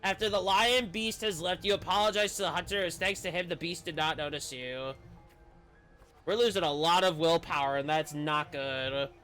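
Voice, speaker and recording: A man's voice: a little high, normal speed, bright, forceful tone, soft background music. A man streaming a game, reading its story aloud with dramatic narration and casual commentary.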